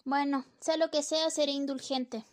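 High, flippant scolding wife for a radio drama, played by a woman. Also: fairly even intonation, normal speed, close to an everyday voice. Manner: flippant, nagging. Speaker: student radio drama actor. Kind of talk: amateur radio play acting.

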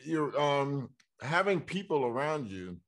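A man hosting a casual interview, speaking hesitantly with frequent pauses and restarts as he works toward his questions. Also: a little high, very animated, a bit bright. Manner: hesitant, halting, many fillers and restarts. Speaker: interview host.

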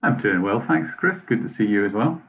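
A man from Northern Ireland with a Northern Irish accent, a guest telling his story in a measured, matter-of-fact way over a video call. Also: fairly low pitch, expressive, fairly bright tone. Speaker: mission worker, guest. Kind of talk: conversational interview answers.

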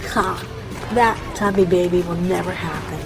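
A woman's voice: lowish voice, moderate pace, music in the background, close to an everyday voice. An actress playing a brash, impatient young punk woman in an audio drama, bossy and mocking. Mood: snide. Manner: bossy, mocking, foul-mouthed.

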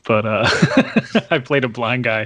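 A man's voice: mid-range voice, lively intonation, takes it slowly, fairly bright tone, no room sound. A man chatting casually on a podcast, telling a laid-back story and laughing often.

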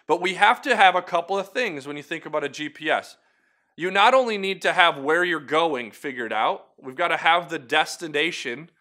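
A man in his thirties gives a short devotional talk to camera as its host. His voice is bright and a bit higher than most men's. He speaks earnestly and encouragingly, like he is chatting with the viewer. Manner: earnest, encouraging, conversational with asides. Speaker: host of a weekly video devotional.

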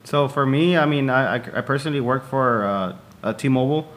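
A man's voice: medium pitch, brisk, a bit bright. A man of about thirty talking casually as a podcast guest, explaining things in a slightly hesitant way. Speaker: podcast guest, clothing brand co-owner. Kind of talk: casual chat.

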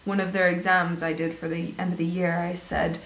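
A woman tells stories in a relaxed, animated way, laughing easily as she goes. Her voice sits on the low side. The room has a noticeable echo and a faint hiss. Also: fairly quick, gravelly. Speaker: guest, former English teacher. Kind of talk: casual conversational storytelling. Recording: echoey room with faint noise.

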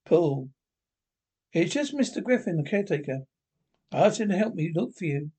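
A husky-voiced reader playing a worried husband who speaks in a plain, reassuring way. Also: mid-range voice, lively intonation, normal speed, warm tone. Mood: concerned. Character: worried husband searching for his wife.

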